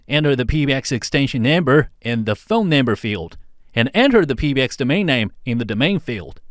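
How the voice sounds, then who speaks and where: Man narrating a tutorial, lively intonation, dry sound. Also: medium pitch, no room sound. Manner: clear step-by-step instructing. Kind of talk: scripted instructional narration.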